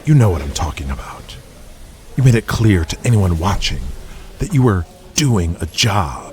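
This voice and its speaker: A man's voice: low-pitched, very animated, moderate pace, a little mellow, soft background music. A man voicing a stern, cynical wrestling promoter in an audio drama, speaking in a curt, accusatory manner. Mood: stern, accusing.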